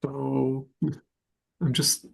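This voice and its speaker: A man's voice: mid-range voice, completely flat delivery, very slow, gravelly. A man presenting as a contractor in a webinar, speaking hesitantly with fillers.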